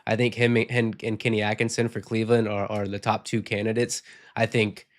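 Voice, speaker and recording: A man's voice: lowish voice, little pitch movement, fairly quick. A man hosting a casual postgame podcast, reading viewer comments and giving frank opinions, sick and losing his voice. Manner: frank, rambling, reads viewer comments aloud. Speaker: podcast host.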